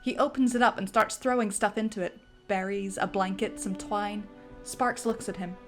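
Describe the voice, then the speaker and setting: Woman reading out the scene stuff, storybook vibe, mid pitch, quiet music behind. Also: fairly even intonation, normal speed. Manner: vivid storybook narration. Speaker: narrator. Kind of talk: dramatic script reading.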